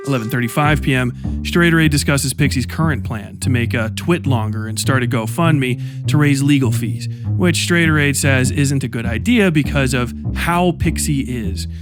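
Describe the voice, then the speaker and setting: A man reads a scripted commentary timeline at a middling pitch, measured and dry with a sardonic edge. A guitar track plays clearly underneath his voice. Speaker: commentary narrator. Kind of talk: scripted documentary-style narration. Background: guitar music.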